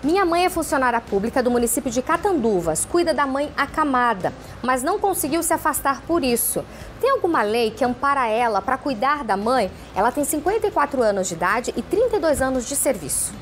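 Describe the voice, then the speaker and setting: A woman TV host reading out viewer questions in the first person, in a plain, steady manner. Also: sits high, a little fast, a little hiss or hum.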